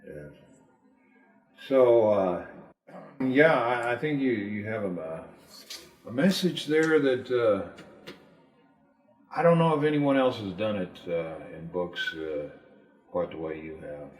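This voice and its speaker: A man hosting an interview, asking questions in a halting way with many hesitations. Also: on the low side, animated, darkish tone. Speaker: interviewer, host. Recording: echoey room.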